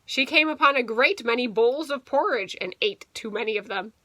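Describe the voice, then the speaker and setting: Animated, bright-voiced woman reading a picture book. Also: on the high side, normal speed, dry recording. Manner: animated, with explanatory asides to young listeners. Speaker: teacher reading to a young class. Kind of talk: expressive children's picture book read-aloud.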